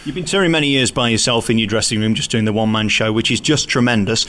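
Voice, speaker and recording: A man's voice: on the low side, fast talker, fairly bright tone. A British man interviewing conversationally, with a direct, teasing way of putting things.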